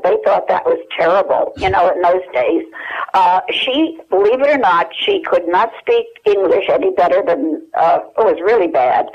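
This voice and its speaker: Older woman reminiscing over the telephone. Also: mid-range voice. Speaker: interview guest. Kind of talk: anecdotal reminiscence in an interview. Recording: dull telephone line.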